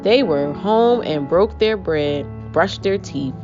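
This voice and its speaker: Slow storytime lady reading to little kids, really animated, music behind her, bit dull sounding. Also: on the low side. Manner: expressive, measured storybook delivery. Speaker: children's book reader, host. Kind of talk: read-aloud storytelling for young children. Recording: muffled audio with prominent music.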